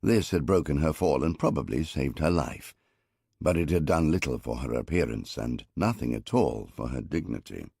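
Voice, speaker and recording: Deep gravelly man narrating an audiobook. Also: expressive, a little mellow, no echo, studio quality. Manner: measured, wry storytelling. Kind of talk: first-person audiobook narration.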